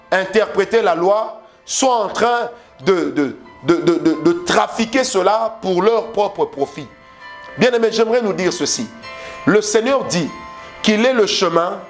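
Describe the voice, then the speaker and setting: A man with a Congolese French accent preaching a sermon, stressing his points with repeated phrases and rhetorical questions. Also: high-pitched.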